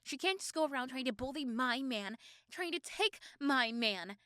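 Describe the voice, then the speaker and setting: Woman acting a high-voiced, possessive schoolgirl girlfriend. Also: expressive, pushed and bright, no echo, clean studio sound. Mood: jealous, determined. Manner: doting, intense, dramatic pauses and repeated words. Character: possessive, protective teenage schoolgirl girlfriend with a menacing streak. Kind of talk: ASMR roleplay acting addressed to the listener.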